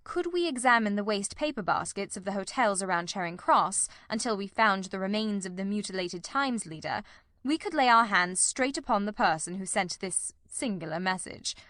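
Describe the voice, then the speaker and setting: A woman voicing a confident, analytical gentleman detective who lectures precisely, in an audiobook. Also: mid-range voice, lively intonation, moderate pace, dry recording, studio quality. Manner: didactic, precise. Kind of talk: audiobook character reading.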